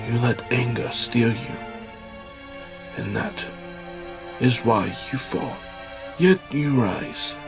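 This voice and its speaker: A male actor plays an aging warrior father for an audio drama. He is stern and solemn, weighing out each phrase slowly like a sage teaching his sons. His pitch sits in a middle male range, with light music underneath. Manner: solemn, measured, sage-like delivery. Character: stern old Japanese warrior father and martial arts master.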